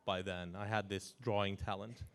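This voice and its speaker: A man in his forties from Iran who grew up in Norway, talking as a guest in an interview in an animated way, chuckling and restarting his sentences. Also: fairly low pitch, normal speed. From Iran, raised in Norway. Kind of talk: casual interview talk.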